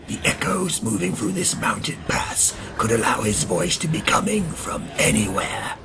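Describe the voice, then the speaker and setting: A man playing a proud, grim, vengeful vampire in an audio drama, with a gravelly voice and brooding, theatrical delivery. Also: lowish voice, very animated, normal speed, whispered. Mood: wary, calculating. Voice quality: gravelly, creaky. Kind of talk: dramatic first-person narration in an audio drama. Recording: music under the voice. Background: music.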